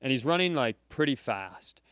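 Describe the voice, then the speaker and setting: A man co-hosting a comedy podcast, telling stories in loose, profane, animated chat and laughing often. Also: medium pitch, moderate pace, no echo, studio quality. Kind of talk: casual storytelling chat. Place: comedy club, live.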